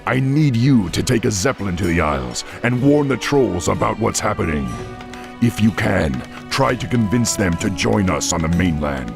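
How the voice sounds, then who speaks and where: An actor playing a noble, steadfast orc war chief in a fantasy game, gravelly and authoritative, speaking in a measured way. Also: male voice, fairly low pitch, animated. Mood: urgent, commanding. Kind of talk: fantasy game character acting. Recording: music under the voice.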